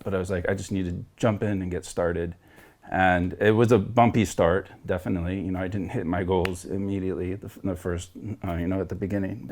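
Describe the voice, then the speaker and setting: A man's voice: low-pitched, a little mellow. A man in his forties from Florida, sharing his personal story as a coaching client over a video call, earnest and reflective, rambling with restarts. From Florida, United States. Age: 40s. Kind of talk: candid personal testimonial.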